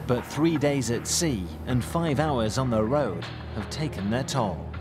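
A man narrates a TV documentary in punchy, suspenseful scripted phrases. His pitch is average for a man, and loud music runs underneath the whole voice-over. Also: expressive, a little slow.

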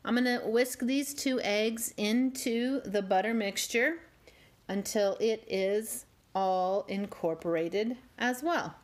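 A woman hosting a home cooking channel, walking through a cake recipe step by step with gently wry asides. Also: a little high, takes it slowly. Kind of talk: calm step-by-step baking instruction. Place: home kitchen.

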